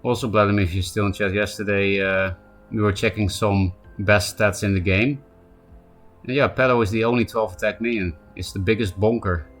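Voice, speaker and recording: Man, Polish accent, fairly low voice, chatty game streamer, faint music behind. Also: fairly even intonation. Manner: chatty, answers chat by name, quick asides. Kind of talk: casual live-stream chat while playing.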